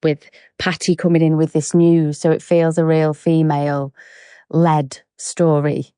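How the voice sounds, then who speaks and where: A woman actor answering interview questions in a chatty, enthusiastic way with quick asides. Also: low voice, little pitch movement, dark tone, clear, resonant tone, dry recording, studio quality.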